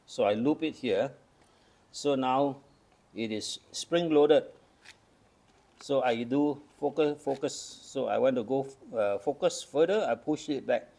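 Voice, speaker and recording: A man's voice: medium pitch, a little slow, vocal fry, mellow. An older man from Malaysia casually demonstrating old cameras, explaining each step patiently. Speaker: camera collector. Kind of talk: casual show-and-tell demonstration.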